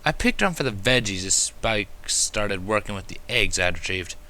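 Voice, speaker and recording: A man reading fan fiction aloud as an expressive first-person narrator, with animated, self-mocking asides. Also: fairly low pitch, normal speed.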